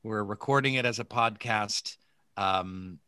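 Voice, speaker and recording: A man hosting a podcast over a video call, enthusiastic and halting, with many fillers. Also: on the low side, pushed and bright, clear, resonant tone, no room sound, studio quality. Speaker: podcast host. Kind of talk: casual video call chat.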